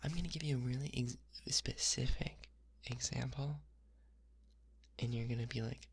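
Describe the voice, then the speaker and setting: A young woman whispers close and low, her voice airy and breathy with a gravelly, frayed edge. She rambles playfully through her ASMR talk, teasing the listener and looping single words again and again. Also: steady tone, moderate pace. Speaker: ASMR creator.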